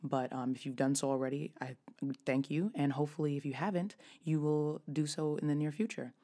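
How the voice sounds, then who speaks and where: A woman in her thirties hosting her own podcast, her voice raspy and hoarse, talking casually with frequent restarts. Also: deep voice, fairly even intonation, brisk, mellow. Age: 30s. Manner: chatty, with frequent restarts and fillers. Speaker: podcast host. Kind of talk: casual solo podcast talk.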